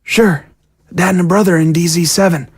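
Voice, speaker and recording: A woman playing a youthful zombie prisoner, frank and low-key, talking casually in an audio drama. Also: fairly even intonation, moderate pace, a little mellow, slightly rough. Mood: confiding. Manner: casual, matter-of-fact.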